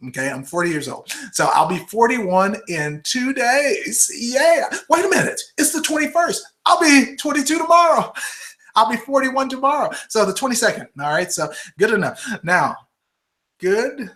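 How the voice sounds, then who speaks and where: Bright, chatty man in his forties. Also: very high voice, expressive, normal speed. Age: 40s. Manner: chatty, peppers sentences with "okay?" and "all right?". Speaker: host of a live health webinar. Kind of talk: casual live-stream Q&A. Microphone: computer microphone.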